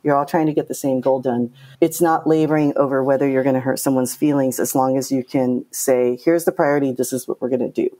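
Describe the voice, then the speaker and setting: Low, mellow-voiced outspoken woman. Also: fairly quick, hardly any pauses. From Japan. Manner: direct, outspoken, animated storytelling. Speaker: game producer, guest. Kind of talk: casual interview talk.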